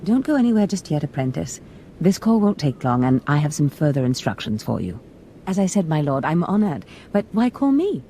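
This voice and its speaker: A scheming dark lady from a video game, playful and falsely innocent. Her voice is low and mellow, with lively, theatrical swings and polite, honeyed phrasing. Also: brisk, slight background noise. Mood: feigned innocence.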